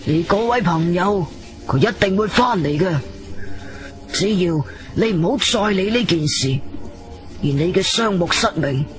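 Slow, condescending Cantonese gentleman. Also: sits high, animated, faint music behind. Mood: cold contempt. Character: stern, secretive gentleman, contemptuous of meddlers. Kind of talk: radio drama acting.

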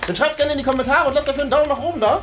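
A young man hosting a game in casual, playful German chat, animated and thinking aloud. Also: very high voice, rapid-fire, over music. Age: young adult. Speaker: host and guesser in a group guessing game. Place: bright indoor studio room.

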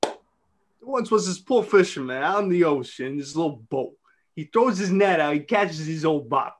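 A man's voice: on the high side, animated, normal speed. A man in an amateur script reading, playing a playful young storyteller spinning a tall tale.

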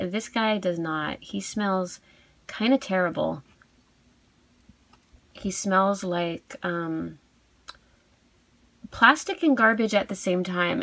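A woman giving a casual unboxing review as a video host, cooing softly over cute things. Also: medium pitch, dry recording. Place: indoors at a tabletop.

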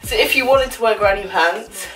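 A woman's voice: medium pitch, moderate pace, a bit bright, soft background music. Woman in her twenties, bubbly, gushing, slangy, small bedroom, camera a few feet off, voice a little distant. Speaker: fashion vlogger. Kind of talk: casual try-on haul chat. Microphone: camera on a stand a few feet away.

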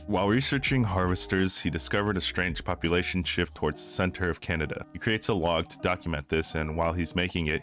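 A man with a very low voice delivers scripted narration for an analytical video essay. He speaks as the narrator, with faint music playing behind him. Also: fairly bright tone.

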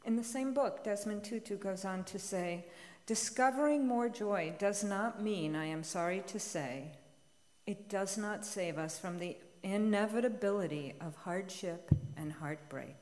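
Middle-aged female homilist, mid-pitched, reading from a script at a pulpit microphone, long church reverb. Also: a little slow, a little mellow. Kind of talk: homily read aloud as a letter. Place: large church sanctuary, at the pulpit. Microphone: gooseneck pulpit microphone.

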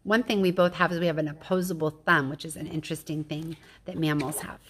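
A woman's voice: low voice, fairly even intonation, fast, a bit bright, breathy edge. A woman reading a children's picture book aloud like a teacher, explaining things with informal asides and questions to her listeners.

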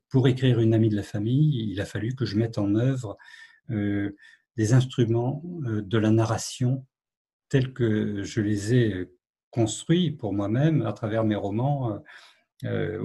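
Male novelist, mellow breathy voice, thoughtful and halting, over a video call. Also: on the low side. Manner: reflective, hesitant, many fillers and restarts. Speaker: novelist, guest. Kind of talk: online literary conversation.

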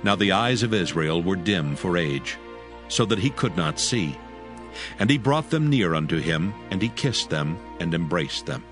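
A man with a fairly low voice narrates a scripture drama. His delivery is measured and formal, over soft background music. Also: animated. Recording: music bed under the voice.

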